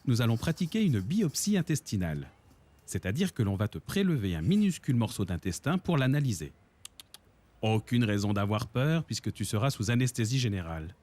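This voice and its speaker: A man voicing a calm, reassuring male doctor in a cartoon, explaining things patiently over music. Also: medium pitch, brisk.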